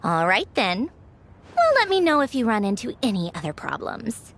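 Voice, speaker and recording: A woman's voice: a little high, wildly swooping pitch, normal speed, fairly bright tone, a little hiss or hum, exaggerated voice. An actress voicing a poised, sardonic female Fatui Harbinger in a video game, cool and composed, with faint music behind. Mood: dry, sardonic.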